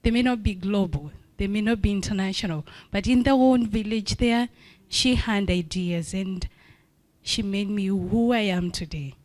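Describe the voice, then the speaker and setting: A woman's voice: medium pitch, normal speed, darkish tone. A woman in her forties from Kenya speaking earnestly and encouragingly at a forum. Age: 40s. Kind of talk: forum remarks.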